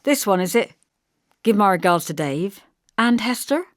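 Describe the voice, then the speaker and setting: A woman's voice: medium pitch, expressive, moderate pace, no room sound, clean studio sound, close to an everyday voice. A woman voicing an offhand, friendly young working man with casual, colloquial speech in an audiobook. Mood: wry, teasing. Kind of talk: audiobook character voice.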